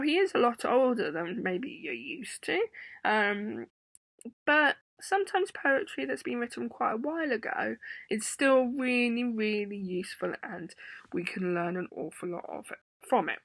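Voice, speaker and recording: A woman in her thirties with an English accent, hoarse from a sore throat, gently teaching young children over a webcam with many ums. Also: fairly high pitch, expressive, moderate pace, dry recording, low-quality recording. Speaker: primary school teacher. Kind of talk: remote lesson to children. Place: home room indoors. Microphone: laptop webcam.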